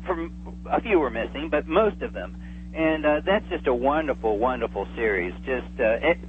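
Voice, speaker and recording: A man chatting casually and enthusiastically as a guest on a radio interview, calling in by telephone. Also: medium pitch. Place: remote, calling in to a radio show. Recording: tinny phone line with noticeable hum.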